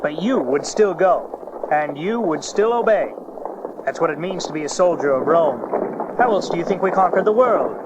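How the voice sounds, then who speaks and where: A man's voice: a little high, expressive, normal speed, lightly acted. A man playing a hardened, fatalistic Roman soldier in a dramatized audio Bible, matter-of-fact in delivery. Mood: grim, resigned. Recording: dull cassette, very noisy background.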